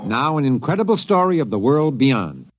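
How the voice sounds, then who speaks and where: A man's voice: medium pitch, big pitch swings, moderate pace, faint music behind. A man reading a scripted radio promotion in a showy 1950s announcer style.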